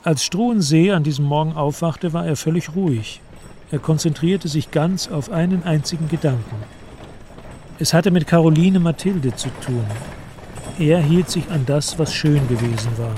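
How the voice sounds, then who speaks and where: Man, radio play narrator, scripted literary reading, measured, solemn, somewhat high voice, faint noise behind. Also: mellow.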